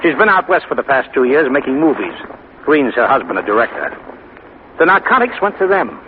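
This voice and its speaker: An earnest male federal agent voiced by a radio actor explains things in a businesslike way, his pitch moving freely. It is 1950s radio drama acting, heard through a thin, tinny recording with clear noise. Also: big pitch swings, a little fast, lightly acted. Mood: earnest, explaining.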